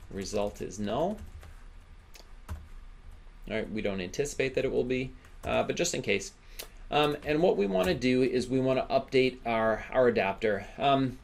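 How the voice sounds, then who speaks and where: A man with a fairly low, gravelly voice leads a screencast lesson. He explains informally, thinking aloud as he works and often tagging phrases with a checking "right?". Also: slight background noise. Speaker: instructor. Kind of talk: software screencast tutorial, thinking aloud while coding.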